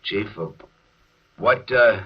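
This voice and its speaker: An actor playing an easygoing, courteous landscape painter in a 1950s radio drama. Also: male voice, fairly low pitch, slow, husky. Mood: affable. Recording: muffled, distant old radio recording.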